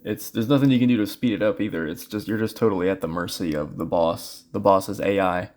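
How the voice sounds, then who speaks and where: A man with a fairly low voice gives casual, rambling commentary on a speedrun. He explains the route and the bosses in an easygoing way, full of fillers. Loud game music plays behind him. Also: a little fast. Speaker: speedrunner commentating his run.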